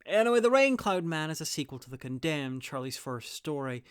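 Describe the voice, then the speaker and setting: A man narrates a scripted review with wry, opinionated asides. His voice is fairly high, smooth and crisp, in a very dry recording. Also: big pitch swings, a little fast, no echo. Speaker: review host.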